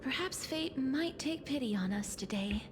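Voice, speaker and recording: Gentle, high-voiced game heroine. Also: female voice, animated, noisy background. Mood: resolute, wistful. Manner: soft, measured, polite. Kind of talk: video game character voice acting.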